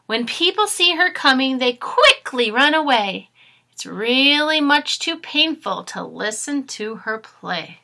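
A woman in her thirties, expressive and instructive, speaking to children as a teacher does in a read-aloud lesson. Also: sits high, slow pace, creaky. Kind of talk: storybook read-aloud with grammar explanations. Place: small furnished room at home.

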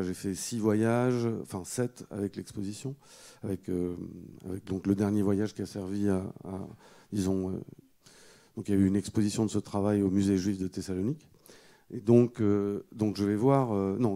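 A middle-aged man with a low, very dark and soft-edged voice speaks unscripted as a panel guest. He feels his way through the story, hesitating and doubling back on words. He holds a microphone close. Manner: halting, hesitant delivery with repeated words. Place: indoor auditorium stage. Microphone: handheld microphone held close.

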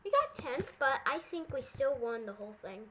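A woman's voice: sits high, sing-song, moderate pace, sharp tone. A girlish female host chatting casually and a little bossily while roleplaying in a video game. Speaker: Minecraft video host playing Elizabeth in a roleplay. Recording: noisy home microphone with clicks.

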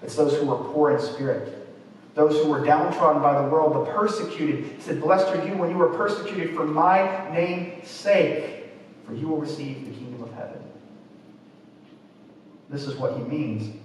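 A man's voice: fairly high pitch, normal speed. A man in his twenties preaching a sermon from a church pulpit, reading and explaining scripture. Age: 20s. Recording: echoey church, distant mic, faint noise.

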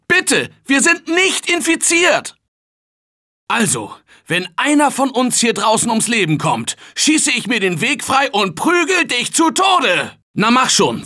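A male actor playing a confident, energetic survivor in a German video game dub, shouting warnings and calling directions. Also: sits high, heavily acted. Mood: laid-back. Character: upbeat male office worker survivor, confident. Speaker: game character.

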